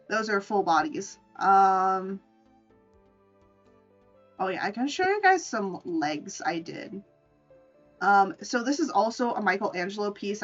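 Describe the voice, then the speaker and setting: A woman's voice: mid-range voice, fairly bright tone, recorded from a distance, lo-fi sound. A woman chatting casually and informally on a livestream as she shows off her art, playful and free with swearing. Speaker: streamer, VTuber artist. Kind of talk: casual livestream chat showing drawings.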